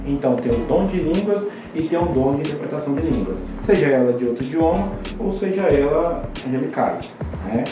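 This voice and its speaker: A Brazilian man, a pastor guest on a podcast, explaining scripture in a halting, explanatory way with many restarts. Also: a little high, lively intonation. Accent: Brazilian Portuguese. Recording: roomy, distant, dull podcast audio. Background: music underneath.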